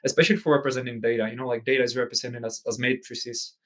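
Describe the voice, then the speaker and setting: A man's voice: medium pitch, fairly even intonation, quick pace. A man in his twenties with a slight Eastern European accent, a native speaker of Czech and Spanish, talking as a guest in a casual interview, rambling with many fillers and restarts. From Czech Republic, raised in Spain. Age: 20s. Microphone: audio-only remote call.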